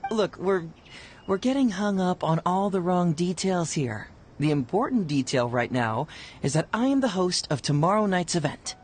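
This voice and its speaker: A man's voice: high-pitched, expressive, normal speed, slight background noise. A man playing a polite, slightly awkward event host and play narrator in an anime video game, explaining things courteously. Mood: matter-of-fact. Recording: music playing behind the voice.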